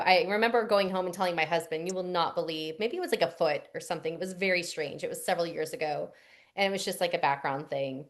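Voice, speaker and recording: A young adult woman, a voice actress answering interview questions in a chatty, rambling way with fillers and restarts. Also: fairly low pitch. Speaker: voice actress guest.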